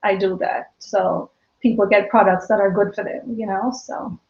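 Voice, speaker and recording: A woman's voice: mid-range voice, fairly even intonation, normal speed, a little mellow, roomy sound, highs cut off. A woman in her fifties with a Jamaican accent blended with British and American sounds, chatting casually and laughing often as a guest on a live stream. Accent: Jamaican, mixed with British and American. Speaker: guest, small business owner.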